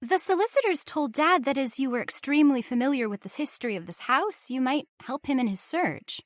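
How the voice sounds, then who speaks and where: A woman's voice: high voice, lively intonation, brisk, no echo, clean studio sound. A woman playing a poised young American daughter, speaking politely and formally in an old-time radio drama style. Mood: gently reproving. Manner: polite, formal, well-spoken.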